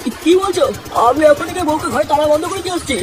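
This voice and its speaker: Someone voicing a high-pitched, bossy old cartoon mason. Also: racing, close to an everyday voice. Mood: worried. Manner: bossy, gruff scolding. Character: grumpy, foul-mouthed old cartoon mason, bossy boss. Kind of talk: comedy cartoon dub. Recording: noisy, distant-sounding cartoon soundtrack.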